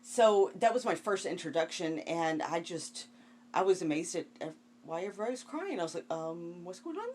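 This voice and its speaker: Low-voiced woman chatting over background noise. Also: lively intonation, brisk, a little mellow. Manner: frequent "you know" asides, questioning. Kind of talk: casual opinionated podcast discussion.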